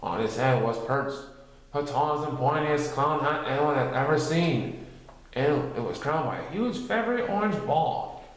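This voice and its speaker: Male children's book reader, lively swooping intonation, narrative style, very reverberant room sound. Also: fairly high pitch, moderate pace. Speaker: storybook reader. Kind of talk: animated children's story reading.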